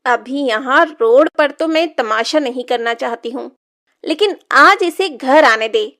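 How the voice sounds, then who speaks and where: Woman acting a cruel high-voiced cartoon stepmother. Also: lively intonation, unhurried, a bit bright, full character voice. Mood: threatening. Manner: harsh, taunting, threatening. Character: cruel, scolding middle-aged village stepmother. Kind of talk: Hindi moral-story cartoon dubbing.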